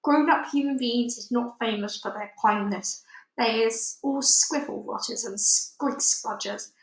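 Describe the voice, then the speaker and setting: A woman voicing a big, gentle, gloomy male giant in a children's storybook, with garbled, folksy grammar. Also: a little high, measured pace, roomy sound, rough recording. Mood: doubtful, dismissive. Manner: garbled, ungrammatical folksy speech.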